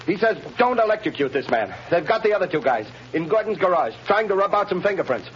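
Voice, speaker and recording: An actor playing an excited messenger in a 1930s radio drama, talking in an urgent rush. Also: male voice, high-pitched, slight background noise. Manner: urgent and rushed. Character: breathless, excited male prison messenger. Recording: muffled 1930s radio broadcast.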